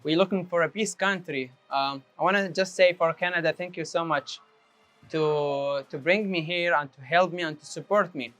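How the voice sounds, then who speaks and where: A man's voice: on the high side, normal speed, fairly bright tone, soft background music. An earnest man from Iraq, speaking simple as he tells his story. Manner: simple non-native English, earnest. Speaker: interviewee. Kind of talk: personal testimony.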